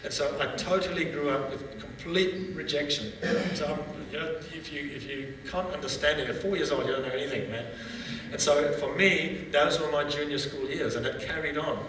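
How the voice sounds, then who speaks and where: A man's voice: a little high, normal speed, fairly bright tone. Older man telling stories in an echoing hall. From Zambia. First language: Bemba. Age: 60s. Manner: conversational storyteller, frequent restarts and "okay?" check-ins. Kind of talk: testimony talk at a seminar. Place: large seminar hall. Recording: echoey hall from far off, with background noise.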